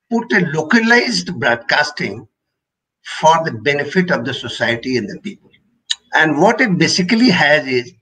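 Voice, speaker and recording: Older male expert, Indian accent, mid-pitched slightly husky voice, formal and measured presentation delivery. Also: pushed and bright, rough recording. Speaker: radio engineering expert giving a presentation. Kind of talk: explanatory lecture with personal recollection.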